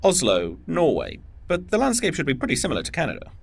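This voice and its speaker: A polite spy boss acted by a man in an audio drama. His manner is formal and measured, with menace veiled under courtesy, and his pitch moves around freely as he talks. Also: huge pitch swings, gravelly, breathy edge, no room sound, full character voice. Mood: courteous.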